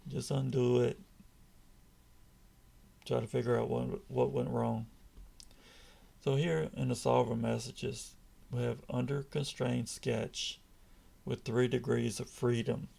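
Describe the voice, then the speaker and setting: Slow, gravelly-voiced man explaining software. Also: medium pitch, slight background noise. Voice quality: raspy. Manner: slow, measured, patient explaining. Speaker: software tutorial presenter. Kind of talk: screen-recorded software tutorial. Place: indoors at a computer, voice-over on screen capture.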